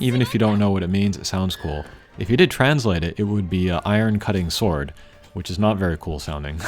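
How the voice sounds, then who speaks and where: A man giving casual, unscripted gameplay commentary as a channel host, thinking aloud in a measured way. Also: deep voice. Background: game music.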